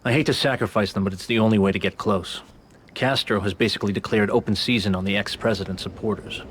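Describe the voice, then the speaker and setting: A man playing a calculating middle-aged American CIA agent in a video game, speaking in a measured, persuasive, matter-of-fact way. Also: lowish voice, steady tone, breathy edge. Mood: confident scheming. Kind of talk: cinematic video game acting.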